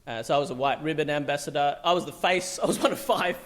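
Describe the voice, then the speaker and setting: A man's voice: fairly high pitch, fast, fairly bright tone. A middle-aged man from Bangladesh, a psychiatrist, giving a loose, conversational public talk full of fillers and restarts.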